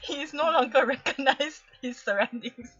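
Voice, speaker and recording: Giggly female streamer with Singaporean accent, high voice, theatrical reading, slightly dull audio over music. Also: moderate pace, fairly bright tone, no echo. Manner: giggly, often breaking into laughter mid-sentence. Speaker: livestream host. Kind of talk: playful dramatic fan fiction reading on livestream.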